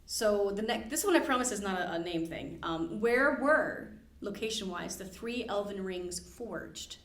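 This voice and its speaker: A woman hosting a library podcast, running a casual trivia quiz with quick asides and easy laughs. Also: medium pitch, expressive, fairly bright tone. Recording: roomy echo with faint background hiss.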